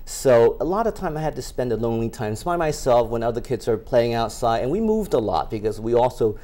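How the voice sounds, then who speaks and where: A middle-aged man from Taiwan, a music school founder answering questions as a TV interview guest, halting with many fillers and restarts. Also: mid-range voice, big pitch swings, warm tone.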